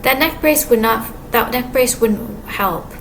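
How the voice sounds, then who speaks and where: Woman, soft quiet voice, slightly high, halting casual vlog talk; audible background ambience. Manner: rambling, halting, many restarts and fillers. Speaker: vlogger. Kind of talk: casual monologue to camera. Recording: clear background ambience noise.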